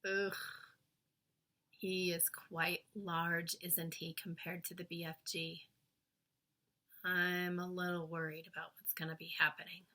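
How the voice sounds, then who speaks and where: A woman's voice: fairly low pitch, a bit bright. A woman reading a children's book aloud as a storyteller, with short asides to listeners. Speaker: storybook reader.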